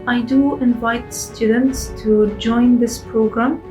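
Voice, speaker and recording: A young woman in her twenties from Syria, a student ambassador, telling her own story in a slow, careful testimonial. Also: a little high, fairly even intonation. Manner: slow, careful speech with pauses. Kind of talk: testimonial in a promotional video. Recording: soft guitar music behind.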